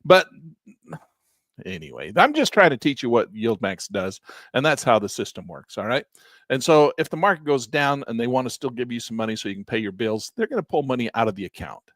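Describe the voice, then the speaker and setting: Older male educator, lively and exasperated, dry close recording. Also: fairly quick, dry recording. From Southern Utah. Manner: animated and exasperated, mocks critics in a high squeaky voice, drops low to grumble. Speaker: trading educator. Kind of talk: live-stream trading lesson, off-the-cuff rant.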